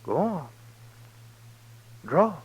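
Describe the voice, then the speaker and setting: An actor playing a cocky, menacing Old West gunfighter in a 1950s radio western, taunting in rustic speech. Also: male voice, on the high side, big pitch swings, very slow, breathy edge, dull audio, exaggerated voice. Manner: taunting, rustic drawling grammar.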